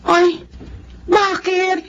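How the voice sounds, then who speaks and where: An actress playing a blunt, incredulous female friend in a radio drama, speaking in an animated way. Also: extremely high pitch, extremely slow, exaggerated voice. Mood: incredulous, scolding. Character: outspoken, incredulous female friend of a young wife. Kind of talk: Filipino radio drama acting. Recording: dull, noisy radio drama recording.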